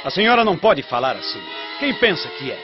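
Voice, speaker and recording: An actor playing a pompous, insinuating Roman prefect, the villain of a radio drama. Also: male voice, fairly high pitch, sing-song, a little fast. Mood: affronted. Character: arrogant, predatory Roman prefect, smooth villain. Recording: muffled radio drama with faint music.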